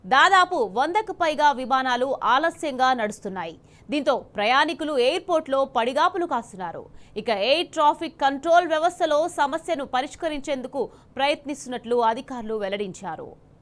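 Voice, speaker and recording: Female news anchor, very high voice, scripted newsreading. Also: animated, fairly quick, fairly bright tone.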